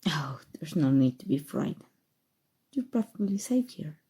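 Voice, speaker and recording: Woman as a husky, dark-voiced smug sorcerer. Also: low-pitched, big pitch swings, voice pushed down, full character voice. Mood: calm threatening. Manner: soft, teasing, deliberate, drifting into whispers. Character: smug, coolly menacing, teasing evil sorcerer kidnapper. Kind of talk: ASMR roleplay, scripted character monologue.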